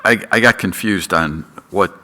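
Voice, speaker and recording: A man on a planning board with a low, soft voice, asking a hesitant question away from the microphone. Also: moderate pace, slight background noise. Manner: hesitant, speaking away from the mic. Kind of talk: public meeting question. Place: town hall meeting room.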